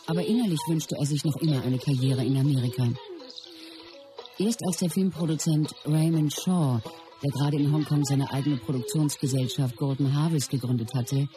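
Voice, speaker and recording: A woman's voice: sits low, natural voice. A woman playing a close companion who narrates a star's life story in a scripted radio play.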